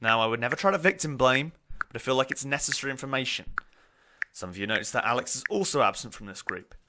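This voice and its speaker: A man's voice: medium pitch, expressive, fast talker, natural voice. Man acting smug gossipy student, noisy recording. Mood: smug, theatrical. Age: young adult. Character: smug, gossipy young male student, drama-loving thespian. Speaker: actor. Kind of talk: audio drama acting. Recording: noisy background with clicks.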